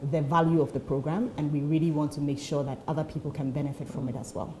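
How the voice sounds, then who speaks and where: Low, mellow-voiced woman from Ghana. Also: steady tone, fast talker, a little hiss or hum. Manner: earnest, fluent with frequent uh fillers. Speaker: education program founder, guest. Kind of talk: TV interview answers.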